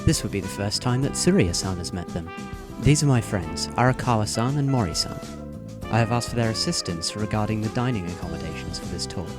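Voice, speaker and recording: A man voicing a polite, smooth-talking teenage boy in a fan audio drama. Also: fairly low pitch, expressive, a little fast, music in the background, lightly acted.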